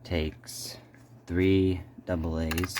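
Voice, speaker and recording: Low-voiced man, halting, noisy recording. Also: level tone, a little mellow. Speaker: video host doing an unboxing. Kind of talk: casual unscripted unboxing commentary.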